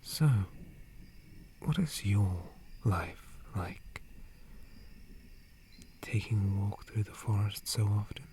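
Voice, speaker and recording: A man voicing a gentle, polite dryad guardian in a breathy, formal whisper for an ASMR roleplay. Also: on the low side, expressive, takes it slowly, long pauses. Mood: mild, curious. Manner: formal, courteous, hesitant, softly hushed. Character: gentle, polite male dryad, forest guardian tree spirit.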